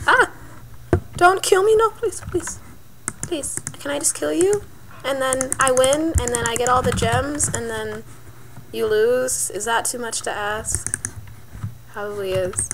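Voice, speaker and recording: A woman's voice: high voice, gravelly. A woman giving live gameplay commentary, yelping, pleading and cheering as she plays. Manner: excitable, yelps and pleads mid-game. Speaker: gaming channel host. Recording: clicky keyboard noise. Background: keyboard and mouse clicks.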